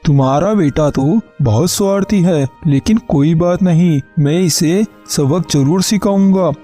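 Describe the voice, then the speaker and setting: A man's voice: on the high side, measured pace, mellow, faint music behind. A man playing a stern, commanding village headman in a cartoon. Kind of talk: Hindi cartoon voice acting.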